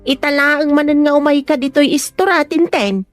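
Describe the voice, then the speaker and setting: A woman's voice: high-pitched, normal speed, soft background music, natural voice. A woman playing a protective, neighbourly village mother with an Ilocano accent in a radio drama. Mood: chatty.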